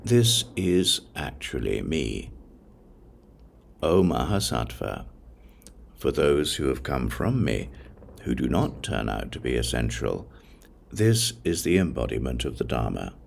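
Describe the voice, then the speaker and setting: An extremely low-voiced man narrates a sacred text, his voice thick with gravelly fry. He reads slowly and solemnly, setting phrases apart with deliberate pauses in a measured recitation. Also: very animated, a little hiss or hum. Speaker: audiobook narrator. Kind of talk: scripted narration of a religious text.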